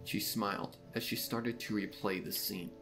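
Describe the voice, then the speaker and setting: A man reading scripted third-person fan fiction as the narrator of a dark mystery story. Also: lowish voice, fairly even intonation, normal speed, gravelly, soft background music.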